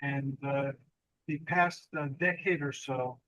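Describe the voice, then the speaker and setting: A male host interviewing a candidate, reflective and measured, with occasional fillers. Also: mid-range voice, steady tone, fairly bright tone, dry recording, low-quality recording. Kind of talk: interview hosting.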